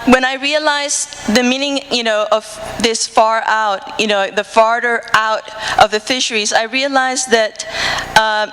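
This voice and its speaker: A woman's voice: on the high side, steady tone, measured pace, a bit bright. A woman from the Dominican Republic with a Dominican Spanish accent, telling a personal story at a conference in earnest English full of "uh" fillers and restarts. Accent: Dominican Spanish, speaking English. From Dominican Republic, Cibao region. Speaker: marine conservation researcher. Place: conference hall. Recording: hall reverb, faint room noise.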